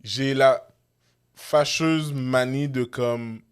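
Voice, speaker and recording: A man's voice: mid-range voice, steady tone, extremely slow, clear, resonant tone. A young man with a Quebec French accent, co-hosting a podcast and protesting playfully when his friends tease him. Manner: defensive, protesting, slips into mock English. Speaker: podcast co-host. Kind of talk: casual chat.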